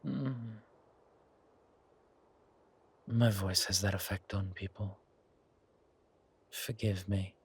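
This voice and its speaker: A man playing a suave, menacing, hungry vampire in an ASMR horror roleplay, with a softly taunting, drawn-out delivery. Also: low-pitched, husky, heavily acted. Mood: seductive, mocking. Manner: slow, drawn-out, softly taunting, often whispering.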